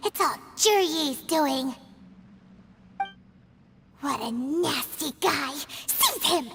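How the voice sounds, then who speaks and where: A woman voicing a tiny, excitable, indignant childlike companion creature in a video game, with a squeaky voice. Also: extremely slow, exaggerated voice. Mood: indignant, alarmed. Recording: clearly noisy background.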